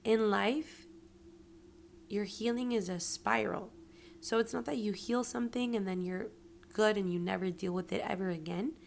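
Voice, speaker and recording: Raspy-voiced woman sharing earnest reflections. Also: medium pitch, normal speed, slight background noise. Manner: earnest, motivational, slips into whispers for emphasis. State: hoarse from yelling. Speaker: podcast host. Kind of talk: personal reflective monologue.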